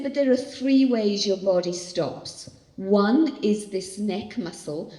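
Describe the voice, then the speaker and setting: Older woman pastor in an echoey hall. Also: mid-range voice. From Australia. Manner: animated storytelling. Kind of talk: church talk on stress. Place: large hall. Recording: echoey hall, long reverb.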